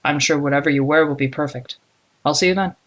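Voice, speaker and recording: Woman voicing a low, friendly, disarming young man. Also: fast. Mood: warm, easygoing. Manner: calm, reassuring, casual. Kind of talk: audiobook character dialogue.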